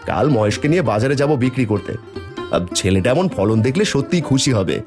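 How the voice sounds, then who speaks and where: A man's voice: medium pitch, brisk, lightly acted. A man voicing a hopeful, kindly poor farmer and family man in a cartoon. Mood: happy. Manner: animated, warm to family. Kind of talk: cartoon voice acting. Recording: soft music behind the voice.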